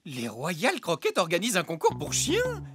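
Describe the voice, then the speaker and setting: A woman's voice: fairly low pitch, huge pitch swings, normal speed, music in the background. A woman playing a doting, enthusiastic dog owner with a cooing manner in a children's cartoon. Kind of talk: animated children's cartoon dubbing.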